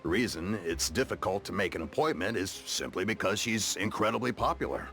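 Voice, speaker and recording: A man's voice: fairly low pitch, a little fast, fairly bright tone. An actor playing a friendly, courteous, chatty townsman in scripted video game dialogue. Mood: helpful, amused. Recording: music behind.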